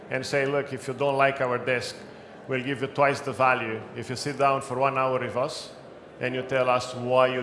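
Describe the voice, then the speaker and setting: A man's voice: mid-range voice, fairly bright tone. Accented man giving a conference talk. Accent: non-native English. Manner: brisk, practical, lightly joking. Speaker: conference speaker. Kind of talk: talk with audience questions. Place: event hall. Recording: echoey room, faint background noise.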